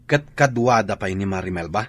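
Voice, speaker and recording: A harsh, demanding husband. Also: male voice, fairly low pitch, expressive, normal speed, a bit bright, a little hiss or hum. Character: cold unfaithful husband and father. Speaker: husband character. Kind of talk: Ilocano radio drama acting.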